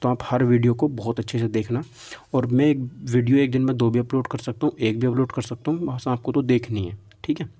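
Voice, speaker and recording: Casting: male tutor, soft-edged mid-range voice, explaining point by point with English terms and regular check-in tags. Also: mid-range voice, steady tone, fairly quick. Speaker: exam-prep physics teacher. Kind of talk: informal lecture going through a syllabus.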